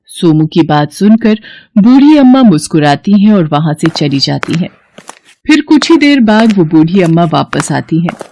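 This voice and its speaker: A woman's voice: fairly low pitch, sing-song, normal speed, darkish tone, no echo. A woman narrating a scripted children's bedtime story as the storyteller.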